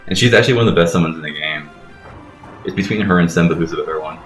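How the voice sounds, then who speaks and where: Fast-talking low-voiced man, casual gamer. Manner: explanatory, profane. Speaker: let's play host playing and explaining the game. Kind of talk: casual gameplay commentary. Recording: roomy echo with game music underneath.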